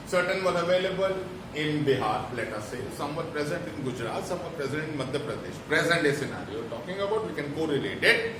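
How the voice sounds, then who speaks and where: A man with an Indian English accent lecturing a class, explaining terms step by step and repeating points for emphasis. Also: high voice. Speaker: teacher. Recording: echoey room, mic at a distance, noisy.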